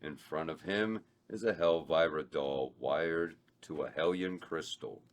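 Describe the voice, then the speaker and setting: A man reads out the stage directions at a table read. His voice is very deep, and he keeps a plain, steady delivery. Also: measured pace. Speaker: narrator reading stage directions. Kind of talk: reading a script aloud.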